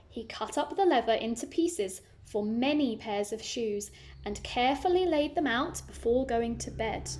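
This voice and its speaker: A woman's voice: a little high, darkish tone. A woman reading a children's story aloud, narrating expressively and adding simple asides for young listeners.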